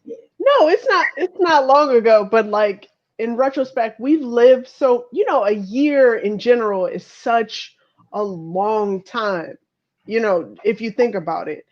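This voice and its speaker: A woman's voice: fairly high pitch, animated, measured pace. An American woman in her thirties from Chicago, chatting casually and frankly with some swearing. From Chicago, United States. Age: 30s. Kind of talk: casual chat, personal reflection. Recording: dull lo-fi audio.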